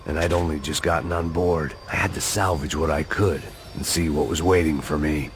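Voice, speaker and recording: Casting: a cynical, self-loathing American action hero, middle-aged, deep and gritty, deadpan noir narration for a video game. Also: male voice, normal speed, background noise. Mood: bitter, resigned. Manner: deadpan, world-weary, sardonic hard-boiled narration.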